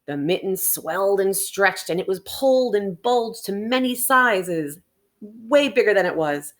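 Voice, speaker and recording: A woman with a mid-range, highly animated voice reads a children's picture book aloud. She draws out key words for emphasis and breaks from the text with chatty, expressive asides and questions for her young listeners. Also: unhurried.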